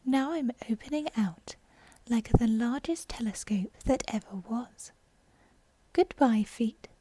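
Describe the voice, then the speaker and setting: A woman's voice: a little high, slow, mellow, slightly rough, no room sound. A woman voicing a curious, dreamy young girl from a storybook, talking to herself in exclamations and musings. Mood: amazed. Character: curious, dreamy, self-scolding young girl, prone to chatter.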